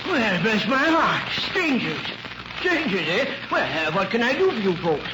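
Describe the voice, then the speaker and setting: An actor playing an older, folksy, chuckling retired country doctor who rambles and repeats himself in 1940s radio drama. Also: male voice, sits high, very animated, unhurried. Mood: jovial, welcoming. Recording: noisy old radio recording.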